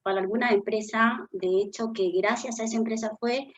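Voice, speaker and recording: A woman's voice: fairly high pitch, fairly even intonation, normal speed, muffled recording. Peruvian woman telling her story. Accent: Peruvian Spanish. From Peru. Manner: earnest, reflective storytelling with frequent fillers. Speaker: guest, business consultant. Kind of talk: interview conversation.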